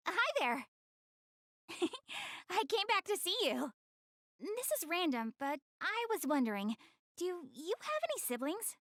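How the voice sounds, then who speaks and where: A woman's voice: extremely high pitch, sing-song, unhurried, pauses often, fairly bright tone, dry recording, clean studio sound, heavily acted. A woman voicing a shy, prideful young goddess girl in an English-dubbed anime game, flustered and earnest but a little haughty. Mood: friendly, open.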